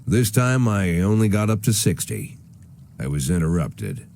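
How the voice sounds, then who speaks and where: An aging, cynical American cop narrates a noir video game. His voice is deep, dark and relaxed, with dry, sardonic weariness. Some noise sits behind him. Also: unhurried, exaggerated voice. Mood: weary, sardonic. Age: older. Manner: world-weary, dry, sardonic. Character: weary, cynical aging police chief turned corrupt.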